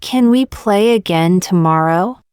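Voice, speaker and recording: A woman reading short practice sentences aloud for learners, saying each one twice, slowly and carefully. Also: lowish voice, darkish tone, clear, resonant tone, dry recording, clean studio sound. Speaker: English teacher.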